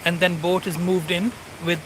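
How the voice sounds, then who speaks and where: A man's voice: high voice, level tone, moderate pace, background noise. A man in his forties, not a native English speaker, narrating a riverside walk in a rambling way and often searching for words. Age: 40s. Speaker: walking vlogger. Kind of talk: casual walking commentary. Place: outdoors by a river.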